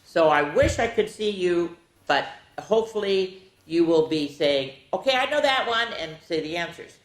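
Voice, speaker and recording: A woman with a slightly low voice reads to children in a roomy, echoing space. Her delivery is playful and theatrical, swinging widely in pitch. She stretches out story words and chats casually between them. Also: expressive, moderate pace. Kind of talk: animated picture-book read-aloud with casual asides. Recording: echoey, roomy sound.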